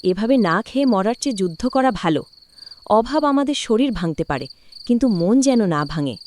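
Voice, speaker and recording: A woman's voice: a little high, sing-song, brisk, a little mellow, a little hiss or hum. A woman playing a poor, resilient village wife in a cartoon drama, anxious yet encouraging. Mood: anxious, resolute.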